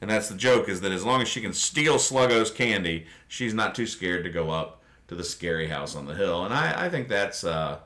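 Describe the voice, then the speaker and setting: A man with a bright voice and lively, swinging pitch hosts a casual podcast. He talks conversationally and explains jokes in a mock-serious way. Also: moderate pace, hardly any pauses. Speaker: podcast host. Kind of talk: casual chat, describing comic strips.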